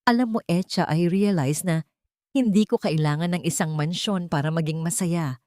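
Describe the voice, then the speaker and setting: Storybook suitor read by a woman: gentle, sincere man, low register, mixes in English, dry recording. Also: normal speed, dry recording, natural voice. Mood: sincere, affectionate. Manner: tender, earnest, mixing Tagalog and English. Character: gentle, devoted man wooing his estranged wife.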